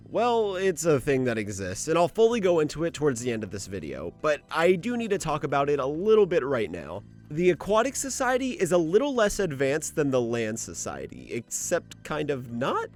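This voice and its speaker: A man's voice: fairly high pitch, huge pitch swings, normal speed, faint music behind. A man hosting a lore video essay in an informal commentary style, often posing rhetorical questions.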